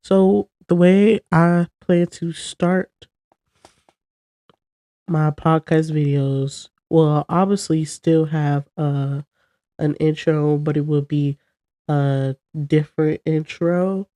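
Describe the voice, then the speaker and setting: A young woman chats loosely and rambles on her first podcast episode, her voice stuffy and congested from a cold. She talks slowly in a mellow, low-key tone. The recording is dry and close. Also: fairly even intonation, no echo. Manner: chatty and rambling, talking to camera. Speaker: podcast host. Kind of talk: casual solo chat.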